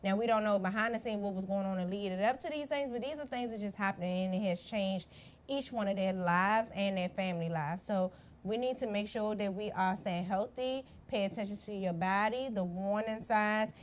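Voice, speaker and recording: A woman co-hosts a radio talk show, chatting casually and acting out quotes with expressive swings. She sits at a middle pitch, and faint background noise runs under her voice. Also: moderate pace. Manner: expressive, acts out quotes, preachy asides. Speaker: radio co-host. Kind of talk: casual chat.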